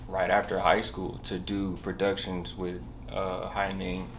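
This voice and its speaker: A young man talks in a low voice. He rambles casually, hesitating and leaning on slang, like a guest telling his own story. The recording has clear background noise. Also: completely flat delivery, unhurried, fairly bright tone. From Southern California, USA. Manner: slangy, rambling, hesitant, punctuated with bro and you know. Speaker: podcast guest, barber.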